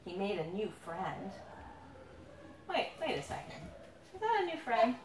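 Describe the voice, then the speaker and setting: A woman reading her own picture book aloud to a young child, playfully dramatic, drawing out words and pausing to ask questions. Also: medium pitch, sing-song. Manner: dramatic build-ups with drawn-out words. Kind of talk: animated storytelling read aloud to a young child. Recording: roomy, noisy, distant handheld recording.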